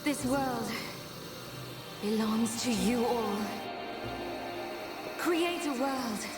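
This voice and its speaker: An actress playing a gentle but resolute, selfless heroine in a video game, solemn and earnest. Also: female voice, on the high side, very slow. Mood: solemn resolve. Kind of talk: dramatic video game acting. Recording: echoing, distant, loud music underneath.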